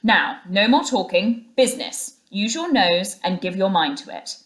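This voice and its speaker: A woman voicing a hearty, loyal, bossy gentleman water rat in a storybook, with an emphatic, chummy manner. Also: medium pitch, big pitch swings, unhurried. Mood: hearty, determined.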